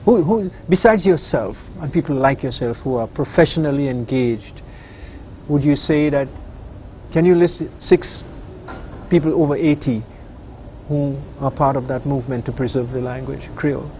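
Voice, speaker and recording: Older host, mellow and measured, probing questions, faint room tone. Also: male voice, mid-range voice, slight background noise. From Dominica. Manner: measured, probing, with frequent backchannel. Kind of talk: interview questions.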